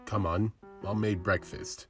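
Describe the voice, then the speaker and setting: A man's voice: lowish voice, fairly even intonation, measured pace, soft background music, pitched above the performer's own voice, close to an everyday voice. A man voicing an exasperated, dutiful undead eldest brother in a narrated story. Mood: scoffing.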